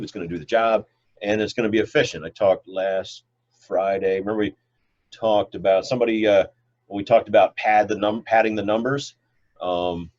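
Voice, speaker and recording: A middle-aged man who says he is congested, teaching a biology class over a video call in a loose, rambling way with many fillers. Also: sits low. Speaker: biology professor. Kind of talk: online class lecture with questions. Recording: dull lo-fi video call audio.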